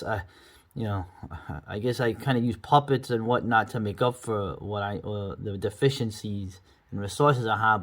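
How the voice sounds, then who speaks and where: A middle-aged man giving casual, rambling, enthusiastic commentary as the host of a video review. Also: lowish voice.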